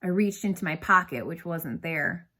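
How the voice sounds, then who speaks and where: A woman narrating a storybook read-aloud with expressive, dramatic phrasing. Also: on the low side, moderate pace.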